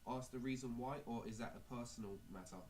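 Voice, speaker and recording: A man putting on a calm clinic doctor for a prank phone call. He speaks politely and haltingly, with a careful clinical tone at a middling pitch, over faint background noise. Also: lightly acted. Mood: calm, official. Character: calm male clinic doctor giving awkward bad news. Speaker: prank caller. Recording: noisy amateur phone-call recording.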